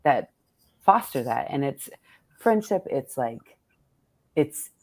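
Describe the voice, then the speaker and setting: A woman's voice: extremely low pitch, very animated, takes it slowly, no echo. A middle-aged woman in casual, reflective conversation, thinking aloud candidly and often repeating "like". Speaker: poet hosting a virtual book event.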